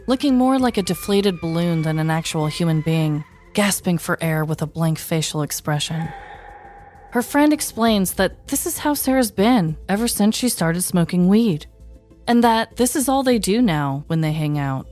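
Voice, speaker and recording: A woman's voice: on the low side, animated, moderate pace, darkish tone, soft background music. An American woman in her thirties narrating a scripted comedic story as a podcast host, with a wry, dramatic delivery. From United States. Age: 30s.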